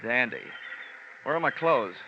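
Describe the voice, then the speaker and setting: A man's voice: medium pitch, animated, a little slow, noisy background. A man narrating and acting a hard-boiled insurance investigator in a 1950s radio drama, wisecracking and dry. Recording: dull lo-fi old radio recording with music.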